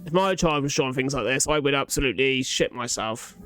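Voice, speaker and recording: A man's voice: mid-range voice, brisk, a bit bright, faint music behind. A young man giving casual reaction commentary, thinking aloud in an informal, sweary way. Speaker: reaction video host.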